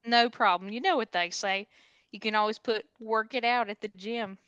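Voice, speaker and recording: A woman's voice: on the high side, fairly even intonation, moderate pace, fairly bright tone, clear, resonant tone, muffled recording, natural voice. A woman playing a breezy, gossipy know-it-all informant in a comedy table read. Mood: offhand.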